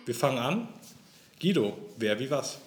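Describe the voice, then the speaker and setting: A man in his thirties with a mid-pitched voice hosts a relaxed church podcast. He rambles a little, laughs easily and often restarts his sentences. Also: normal speed, echoey room, loud music behind the voice. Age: 30s. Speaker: sexton and podcast co-host. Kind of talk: casual chat.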